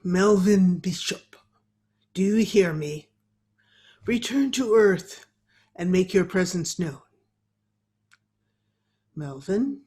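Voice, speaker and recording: A woman playing a mysterious, solemn séance medium in a radio play, with formal, drawn-out, incantatory delivery. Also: lowish voice, lively intonation, mellow. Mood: solemn trance. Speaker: amateur radio drama player. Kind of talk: old-time radio acting.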